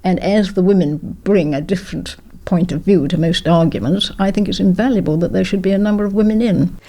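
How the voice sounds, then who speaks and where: A woman's voice: lowish voice, a little fast, dark tone. An older woman, a former politician, reminiscing in an interview in an effusive, emphatic, well-spoken way.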